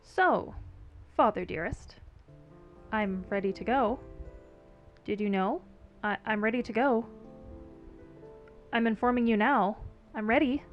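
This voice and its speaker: A woman's voice: a little high, slow, pauses often, lo-fi sound, faint music behind. A woman playing a cocky, eager young girl in an audio drama, with a theatrical, impatient manner. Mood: impatient, cheeky.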